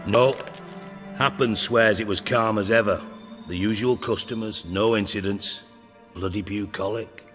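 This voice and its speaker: An actor playing a menacing, blunt underworld boss with dry sarcasm in a video game. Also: male voice, on the low side, steady tone, unhurried, fairly bright tone, long reverb tail, faint music behind. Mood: matter-of-fact. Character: menacing, sardonic, foul-mouthed male underworld crime boss.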